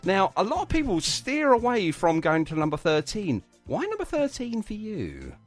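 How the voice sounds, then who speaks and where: A man's voice: high-pitched, wildly swooping pitch, moderate pace, faint music behind. A man hosting a live radio interview in an effusive, jokey way, chatting to guests. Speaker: radio host.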